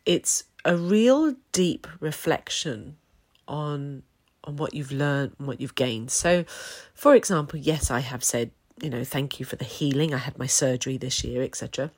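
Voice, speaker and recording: A woman talking gently and reflectively to her viewers as a personal development coach, her voice a bit croaky while she recovers from illness. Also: extremely low pitch, normal speed. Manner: warm, gentle, reflective, with many fillers. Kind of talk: casual talk to camera.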